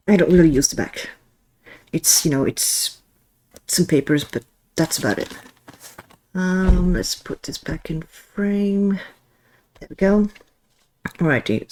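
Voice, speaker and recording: A woman's voice: low-pitched, a little mellow. A woman from Belgium, speaking English as a second language, chatting casually at home as she shows her stationery and sometimes searches for words. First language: not English. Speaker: stationery vlogger. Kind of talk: casual show-and-tell. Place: home, with cat.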